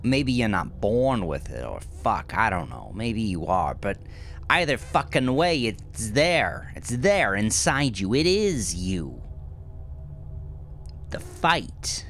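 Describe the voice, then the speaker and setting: A man voicing a cocky, fast-talking male hustler with a stammering, profane, earnest manner in a horror audio drama. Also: fairly low pitch, big pitch swings, fairly bright tone, slight background noise, voice pushed up, exaggerated voice. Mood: intense, earnest.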